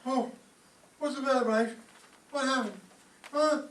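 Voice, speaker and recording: A man's voice: extremely high pitch, measured pace. A man playing a sleepy, sarcastic, grumbling husband in an old-time radio comedy staged live. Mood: dazed. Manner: grumbling, indignant. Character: sleepy, cranky, sarcastic penny-pinching husband. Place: live stage performance before an audience. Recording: roomy, distant mic, faint noise.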